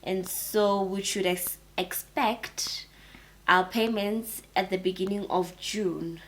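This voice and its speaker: A woman co-hosting a casual chat, speaking earnestly and agreeably, quick to laugh. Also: medium pitch, steady tone, fairly bright tone, rough recording. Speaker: co-host.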